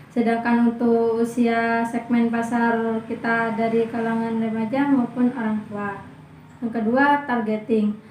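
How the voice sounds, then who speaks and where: A woman's voice: fairly high pitch, mellow, slight background noise. A young woman from Indonesia, a student reading a business presentation from a script, listing points one by one. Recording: echoey room.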